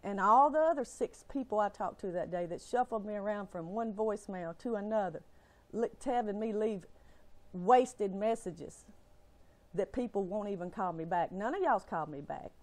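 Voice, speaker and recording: A woman's voice: mid-range voice, normal speed, darkish tone. A woman with a Southern US accent giving an impassioned, exasperated rant in a shaky, upset voice, with folksy, emphatic phrasing. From Alabama, United States. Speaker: family caregiver.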